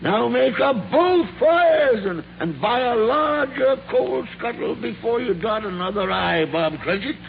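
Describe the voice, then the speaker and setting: An actor playing an elderly miser in a 1940s radio drama, with theatrical, emphatic, drawn-out delivery. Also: male voice, extremely high pitch, lively intonation, vocal fry, heavily acted. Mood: jubilant. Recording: dull lo-fi 1940s radio recording.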